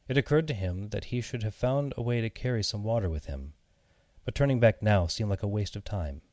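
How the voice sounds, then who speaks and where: A man with a low voice narrates a children's story. His delivery is measured and expressive, clearly scripted reading. The sound is very dry, with no room around it. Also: no echo. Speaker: audiobook narrator.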